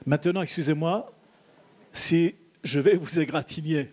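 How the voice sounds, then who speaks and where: A man from the audience asking a question at an outdoor public talk, speaking formally and deliberately. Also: fairly high pitch, darkish tone, slightly rough. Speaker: audience member. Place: outdoor town square event.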